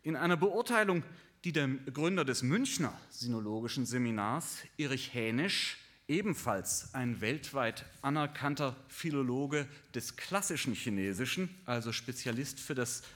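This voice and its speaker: An older man, a professor, giving a formal lecture in a measured, dryly ironic way, sometimes halting on fillers. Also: mid-range voice, animated, fairly bright tone. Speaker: professor of Chinese studies.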